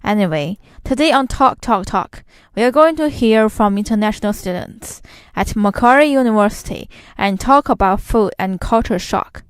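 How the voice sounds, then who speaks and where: A woman's voice: medium pitch, expressive, moderate pace, few pauses, clear, resonant tone, dry recording, studio quality. A young woman from China, a native Chinese speaker, hosting a podcast and reading her scripted narration in earnest. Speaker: podcast host.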